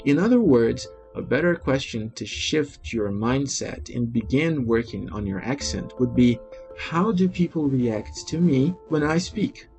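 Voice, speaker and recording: A man with a Brazilian Portuguese accent, a native Portuguese speaker, reading an article aloud in a steady, even voice as host of a language video. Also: mid-range voice, measured pace, poor audio. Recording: faint music behind.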